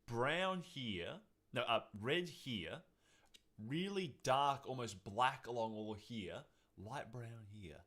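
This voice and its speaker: A man co-hosting a podcast, chatting casually in an animated, jokey way. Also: medium pitch, slow pace. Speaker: podcast co-host. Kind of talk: casual chat.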